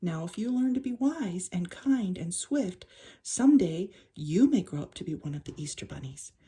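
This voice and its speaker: A woman voicing a kind, encouraging mother bunny in a storybook, with a soft husky voice. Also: lowish voice, expressive, moderate pace. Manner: kindly, instructing.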